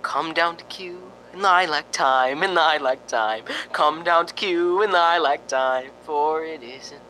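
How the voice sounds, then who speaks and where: A man's voice: on the high side, slow pace, pushed and bright, a little hiss or hum. A man playing a nervous, lonely radio reporter in a spooky radio drama, stammering and rambling with sighing ohs. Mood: wistful, nervous. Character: jittery, lonely radio reporter broadcasting from a haunted house.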